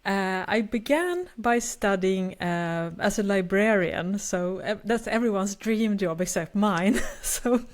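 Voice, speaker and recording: A woman's voice: medium pitch, expressive, takes it slowly, few pauses. A Swedish woman in her fifties with a Swedish accent, co-hosting a podcast in a chatty, self-deprecating way with frequent laughs. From Sweden. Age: 50s. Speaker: podcast co-host. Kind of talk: casual podcast chat.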